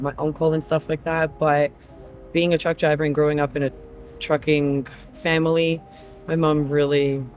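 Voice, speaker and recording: A man from Croatia who drives trucks, answering interview questions earnestly with frequent pauses. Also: fairly high pitch.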